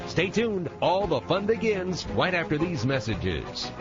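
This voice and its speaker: Casting: male radio host, animated lightish voice, music bed, slightly dull recording. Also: a little high, a little slow. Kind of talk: scripted promotional narration.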